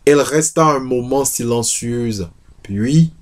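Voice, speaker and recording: A man reading literary prose aloud in a deliberate way, stumbling now and then. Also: mid-range voice. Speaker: reader of a novel excerpt. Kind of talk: literary reading aloud with brief English asides.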